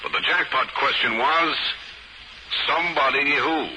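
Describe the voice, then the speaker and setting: An actor playing a wisecracking, hard-boiled private detective in a 1950s radio crime drama, with a wry, deadpan delivery. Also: male voice, a little high, a little slow, lightly acted. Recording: very noisy old 1950s radio recording.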